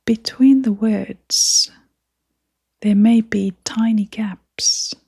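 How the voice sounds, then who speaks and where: Female meditation guide. Hushed, airy delivery at a very unhurried pace, gentle and calming, with generous pauses. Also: on the high side, no echo, clean studio sound. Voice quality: soft, breathy, often near-whispering. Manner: gentle, soothing, with long pauses.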